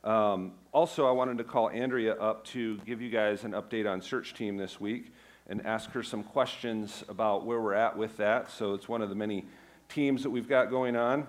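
A man's voice: on the low side, fairly even intonation, normal speed. A man, a pastor, giving church announcements and interviewing a congregant in a casual, self-deprecating way.

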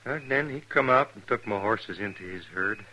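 Brooding, hard-edged western scout. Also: male voice, fairly low pitch, animated, normal speed, pushed and bright. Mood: wistful, remembering. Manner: rustic drawling grammar, terse, brooding. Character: weary, defiant male army scout, fugitive frontiersman. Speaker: actor in a radio western. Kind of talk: 1950s radio drama acting. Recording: noisy vintage 1950s radio broadcast recording.

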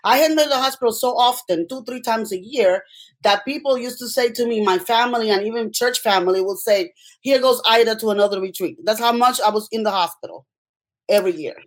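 A woman's voice: a little high, a little fast. A middle-aged woman with a Puerto Rican accent and Spanish as her first language, telling personal stories as an interview guest and often checking in with "okay?". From Puerto Rico. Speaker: interview guest, healthcare worker.

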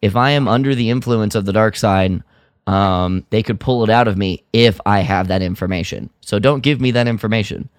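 A man with a fairly low voice talks casually as a podcast co-host. The sound is very dry, with no room around it. He is a little hesitant, feeling for the right words as he explains. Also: brisk, no room sound. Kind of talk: casual chat about Star Wars lore.

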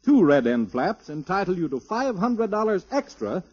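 A man's voice: high voice, sing-song, normal speed, a little mellow, slightly rough. A man with an American accent reading a 1950s radio advertisement as an announcer. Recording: dull vintage 1950s radio recording.

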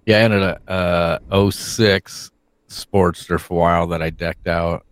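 Low-voiced man, blunt and dry-sounding. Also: fairly even intonation, a little slow, dry recording. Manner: blunt, profane. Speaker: talk show panelist. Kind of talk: casual chat. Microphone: remote internet call.

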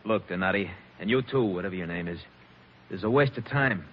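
An actor playing a hostile, suspicious fired worker in a 1940s radio drama. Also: male voice, low voice, fast talker, close to an everyday voice. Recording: muffled, noisy old 1949 radio recording.

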